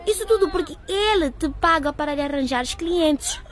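Radio drama: a teenage girl, high voice, earnest and firm. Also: lively intonation, moderate pace. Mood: accusing. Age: teens. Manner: earnest, apologetic, defiant. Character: Maria, earnest pregnant teen girl.

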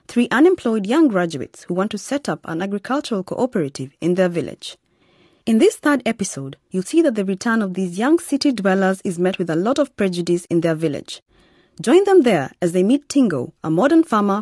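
A woman's voice: mid-range voice, very animated, fairly quick, a little mellow, no echo, studio quality. A woman reading a scripted introduction as the narrator of a radio series.

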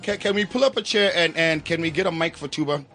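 A man's voice: a little high, fast, fairly bright tone. A man from Zimbabwe hosting a radio show, teasing his guests and laughing a lot in casual studio banter. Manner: playful, teasing, laughs often.

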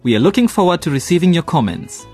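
Wry, husky male radio presenter. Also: on the high side, big pitch swings, fast talker, a little mellow, soft background music. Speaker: narrator presenting an educational radio drama. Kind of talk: scripted host outro.

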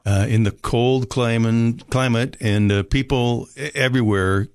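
An older man from Minnesota with a Minnesota accent, telling his life story as a guest in an unhurried, polite way. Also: medium pitch, steady tone, darkish tone, slight background noise. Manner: unhurried, polite, frequent fillers. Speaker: rescue mission director, guest. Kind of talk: relaxed storytelling interview.

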